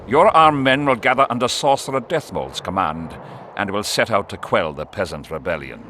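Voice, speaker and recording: A man's voice: fairly low pitch, lively intonation, moderate pace, background noise, lightly acted. An actor playing a domineering, scheming middle-aged warrior king in a video game, with a commanding, sardonic manner. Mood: menacing. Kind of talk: dramatic video game acting.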